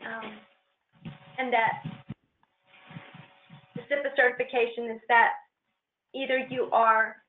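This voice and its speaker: A woman's voice: fairly high pitch, flat delivery, slow pace, pauses often, slight background noise. Woman explaining a form step by step on a conference call, kinda hesitant, muffled and echoey. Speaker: presenter on a training conference call. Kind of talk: informal step-by-step walkthrough of a filing procedure. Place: conference call with shared screen.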